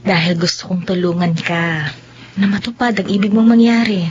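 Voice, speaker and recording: Isang dalagang mapagmahal sa kulob na rekording, ginagampanan ng aktres. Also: female voice, on the low side, normal speed, slight background noise, natural voice. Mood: earnest, hopeful. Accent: Filipino. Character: devoted, hopeful young expectant mother in unrequited love. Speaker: radio drama actor. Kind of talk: Tagalog radio drama acting. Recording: dull, lo-fi radio sound.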